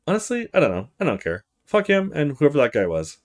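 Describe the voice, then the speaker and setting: A man's voice: fairly high pitch, huge pitch swings, quick pace. A man voicing a feisty, guarded young female university student, blunt and defiant, in a visual novel read-aloud. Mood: dismissive.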